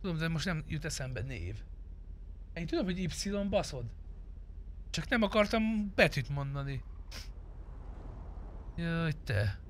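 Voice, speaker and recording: A man's voice: fairly high pitch, big pitch swings, pauses often, a little hiss or hum. A man, swearing and teasing as he chats casually while streaming an online roleplay game. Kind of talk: casual gaming banter over voice chat.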